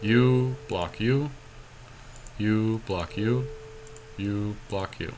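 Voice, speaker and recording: A man's voice: on the low side, extremely slow, a little mellow, a little hiss or hum. A man co-hosting a podcast, thinking aloud as he casually comments on his moves in a card game. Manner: thinks aloud, counting and reasoning through moves. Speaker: podcast co-host.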